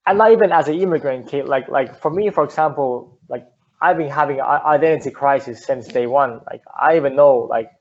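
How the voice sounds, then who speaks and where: A man's voice: medium pitch, a little fast, dull audio. A young man from Finland, a native Finnish speaker, talking earnestly as an interview guest, often repeating words and restarting. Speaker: guest entrepreneur. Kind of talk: casual interview talk.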